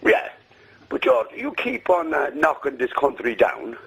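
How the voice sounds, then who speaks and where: Rambling Irish caller from Limerick. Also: male voice, a little high, big pitch swings, unhurried, creaky, fairly bright tone, husky, rough recording. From Ireland. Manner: rambling, says the host's name often. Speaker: radio caller. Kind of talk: phone-in call. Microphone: phone.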